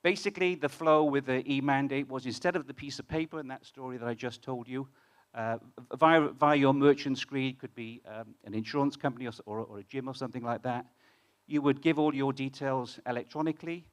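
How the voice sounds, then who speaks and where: A man's voice: mid-range voice, fairly even intonation, a little fast. A British man, a fintech founder, speaking in a conversational way at a conference and asking the audience questions. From United Kingdom. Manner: conversational, many restarts, asks the audience questions. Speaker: fintech founder presenting on stage. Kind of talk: conference talk to a live audience.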